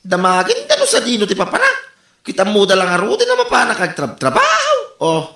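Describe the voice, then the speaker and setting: A man playing a harried office worker in a comedy radio drama, whiny and exasperated, with an Ilocano accent and drawn-out exclamations. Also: sits high, huge pitch swings, bright, forceful tone, echoey room, full character voice.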